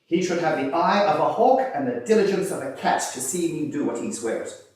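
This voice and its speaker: Echoey, high-pitched defiant Irish convict played by a man. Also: expressive, fairly quick, darkish tone, lightly acted. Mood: cutting, indignant. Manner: declamatory, cutting, mock-formal. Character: defiant, witty Irish convict poet on trial. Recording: distant, very echoey room.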